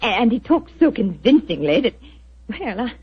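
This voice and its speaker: A sweet young wife who coaxes gently and hesitates when unsure. Her pitch rises and falls a great deal, and her voice is very breathy. It comes through a dull 1940s radio recording. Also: sing-song, moderate pace, heavily acted. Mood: coaxing.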